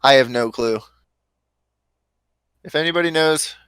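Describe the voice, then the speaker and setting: Late-20s man, teasing stream host, bright and smooth, close dry sound. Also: medium pitch, a little slow, no echo. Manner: joking, teasing. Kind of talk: casual livestream chat.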